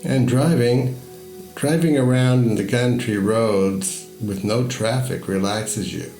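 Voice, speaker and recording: A man narrates a guided meditation as a story, in a measured, deliberate manner with pauses between phrases. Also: mid-range voice, warm tone. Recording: echoey voice over music. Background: music.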